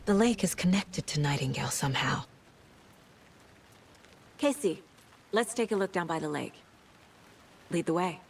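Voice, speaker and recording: An actress playing a composed, analytical FBI agent in a video game, murmuring terse, measured deductions. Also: female voice, lowish voice, lively intonation, slight background noise. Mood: matter-of-fact.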